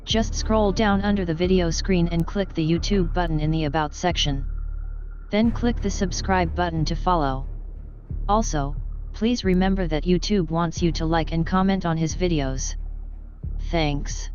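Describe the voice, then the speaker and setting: A woman reading a scripted channel introduction in a polite, even manner. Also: on the low side, normal speed, lo-fi sound, slight background noise. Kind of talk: scripted announcement.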